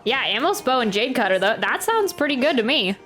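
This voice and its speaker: A woman's voice: on the high side, big pitch swings, brisk, fairly bright tone. A woman live-streaming a game, chatting casually, thinking aloud and answering her viewers. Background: faint game music.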